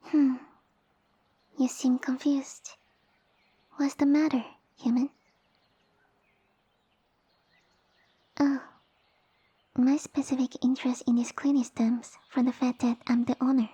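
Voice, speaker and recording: Girl doing a sassy little lamia boss lady, asmr roleplay, soft breathy voice, really close and dry, bossy formal talk. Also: sits high, level tone, dry recording, exaggerated voice. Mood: haughty, questioning. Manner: formal, imperious, measured phrasing. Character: small, sassy, haughty female lamia village ruler and boss. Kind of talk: ASMR roleplay audio addressed to the listener.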